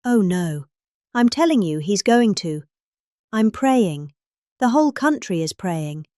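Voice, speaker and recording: A woman's voice: mid-range voice, sing-song, slow, warm tone, no echo, clean studio sound. A middle-aged woman with a British accent giving a dramatic reading of a rambling political speech, with exaggerated emphasis.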